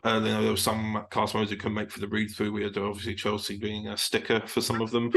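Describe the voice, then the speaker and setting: A man's voice: on the low side, monotone, quick pace, vocal fry, fairly bright tone. A man speaking as a voice actor and podcast guest in a casual group chat, hesitant and often restarting his words.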